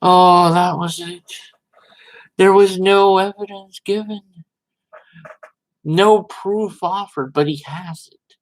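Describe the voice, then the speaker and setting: A man giving informal, sarcastic commentary and dramatic readings, with a halting, theatrical manner. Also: high-pitched, fairly even intonation, poor audio. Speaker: host reading and commenting on social media posts.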